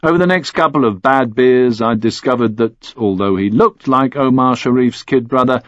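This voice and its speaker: A man's voice: medium pitch, moderate pace, no echo, muffled recording. A man reading scripted first-person thriller narration as an audiobook narrator.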